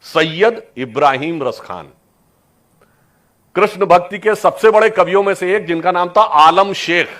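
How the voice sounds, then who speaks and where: A man giving a persuasive political speech to an audience, with deliberate emphatic phrasing, rhetorical questions and English words mixed in. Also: sits high, fairly bright tone. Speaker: political speaker.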